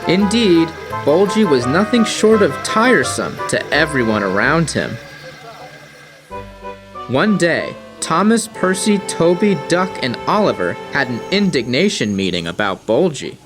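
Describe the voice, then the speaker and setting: A man reading scripted storybook narration in a measured, dramatic way. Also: on the high side, very animated. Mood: storytelling. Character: storybook narrator of talking engine tales. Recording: music playing behind.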